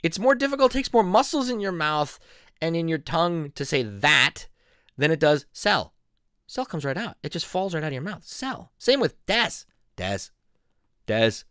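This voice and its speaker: A middle-aged man from southeastern Pennsylvania giving a playful, animated casual video lesson, laughing often. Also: fairly high pitch, moderate pace, clear, resonant tone, no echo, clean studio sound. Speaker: YouTube language teacher. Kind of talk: casual vlog-style lesson.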